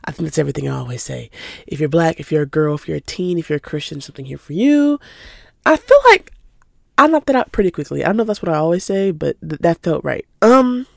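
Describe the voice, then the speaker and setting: A woman's voice: low voice, lively intonation, fast, gravelly, no echo, studio quality. An eighteen-year-old woman from Atlanta hosting her own podcast in casual, rambling chat, sounding stuffy from a cold. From Atlanta, Georgia. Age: teens. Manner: chatty, rambling, laughs easily. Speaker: podcast host.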